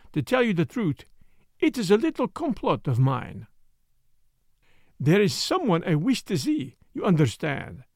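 A man's voice: sits high, no echo, studio quality, exaggerated voice. A man voicing a fussy, self-satisfied Belgian detective in an audiobook, speaking with a put-on French accent in a precise, mannered way. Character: fussy, smug middle-aged male Belgian detective. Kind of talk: audiobook character voice.

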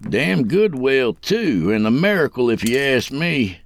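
A man as a gravelly, sly old jailer. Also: medium pitch, big pitch swings, voice pushed up. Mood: calm, folksy. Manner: folksy, unhurried rural speech, conspiratorial. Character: calm, folksy old jailer, sly and coaxing.

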